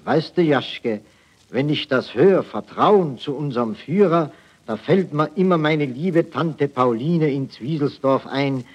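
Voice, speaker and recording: Hapless soldier, muffled old radio. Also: male voice, fairly high pitch, a little slow, husky. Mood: mock-naive, rueful. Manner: folksy, mock-naive storytelling. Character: hapless, naive German army private telling his woes. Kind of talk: 1940s satirical radio monologue. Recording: muffled archival 1940s radio recording.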